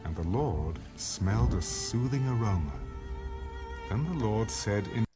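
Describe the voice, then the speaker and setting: A man with a slightly low voice reads scripture as dramatic narration. His intonation moves expressively while staying measured. Music runs clearly under the produced recording. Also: animated. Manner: measured, storytelling.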